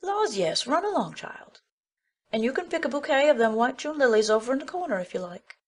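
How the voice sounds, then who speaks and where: A woman's voice: sits high, very animated, fairly quick, a little mellow. A woman playing an outspoken, kindly but bossy older village woman who talks in blunt, folksy country speech in an audiobook drama. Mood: hearty. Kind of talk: full-cast audiobook acting.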